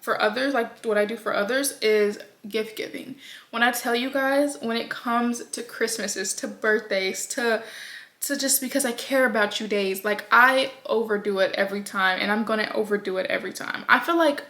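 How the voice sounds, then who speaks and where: A woman's voice: on the high side, a bit bright. A woman of twenty, chatting casually to her viewers in a get-to-know-me vlog, rambling with plenty of ums and likes. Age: 20s. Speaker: vlogger. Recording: echoey room sound.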